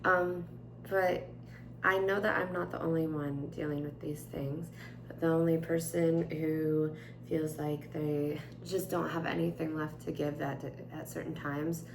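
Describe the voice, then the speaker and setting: Young woman vlogger, raspy and worn, gravelly low voice, chatty with lots of fillers, echoey home room. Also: little pitch movement, measured pace, noisy background. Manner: chatty with frequent fillers, gentle and candid. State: tired, voice strained. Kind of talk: casual chat and journal reading. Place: indoors at home, at a table. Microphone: camera on tripod.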